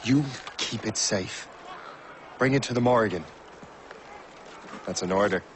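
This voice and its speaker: A man's voice: lowish voice, measured pace, background noise, lightly acted. An actor playing a resolute, commanding male captain in a video game cutscene, giving firm, clipped orders. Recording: game audio with loud score.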